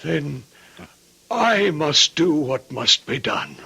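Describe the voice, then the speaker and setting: An actor playing an anxious, loyal and stubborn household servant in old-time radio drama, with a husky voice and an emotional, deferential manner. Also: male voice, on the high side, sing-song, slow pace, sharp tone, exaggerated voice. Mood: solemn, resolute.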